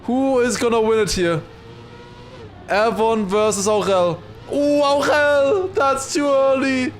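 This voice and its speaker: A man livestreaming excited esports commentary mixed with casual chat, raising his voice to a shout at big moments. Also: extremely high pitch, slow, faint music behind. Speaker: esports watchparty streamer and commentator.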